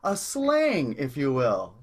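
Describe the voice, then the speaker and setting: Excitable bumbling promoter, husky, swooping pitch, rambling sales talk, comic radio play. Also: male voice, takes it slowly, full character voice. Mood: eager, pitching. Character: eager, bumbling male vaudeville-era entrepreneur pitching schemes.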